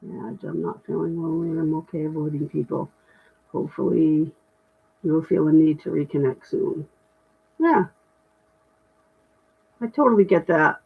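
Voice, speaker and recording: Middle-aged woman with a deep voice, casual and candid livestream host, thin phone-quality audio. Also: normal speed, creaky, long pauses, breathy edge. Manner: chatty, self-deprecating, candid. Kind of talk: casual livestream chat reading comments.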